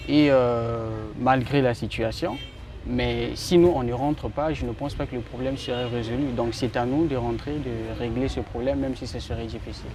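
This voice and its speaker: A man's voice: mid-range voice, steady tone, normal speed. Congolese-born guy talking architecture, lots of ums, city traffic noise in the background. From Republic of the Congo. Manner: thoughtful, hesitant with many fillers. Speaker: architecture student. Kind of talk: interview answers. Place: outdoors in a city.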